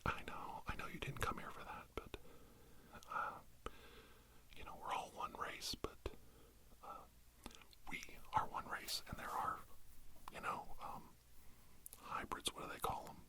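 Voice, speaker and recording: A man's voice: a little slow, pauses often. Whispering man, rambling ASMR storyteller. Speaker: ASMR host posing as a healing doctor.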